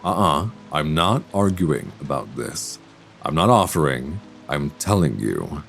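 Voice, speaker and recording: A handsome, youngish professor played by a man speaks in a deep, expressive voice, close and intimate. He is kindly and bookish, musing and gently flirtatious, as in an audio roleplay. Faint music sits behind him. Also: unhurried, creaky, heavily acted. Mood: concerned, insistent. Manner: soft chuckles, reassuring, gently teasing, musing. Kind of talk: intimate audio roleplay, one side of a conversation. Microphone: close binaural microphone.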